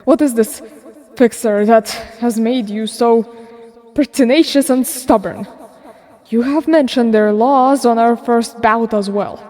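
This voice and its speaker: A woman's voice: on the high side, a little slow, warm tone, long reverb tail, natural voice. A woman playing a proud blood-fiend father who is weary yet eager for stories, in formal, archaic fandub acting. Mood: indignant. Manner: archaic, formal, theatrical diction. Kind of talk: dramatic game fandub acting.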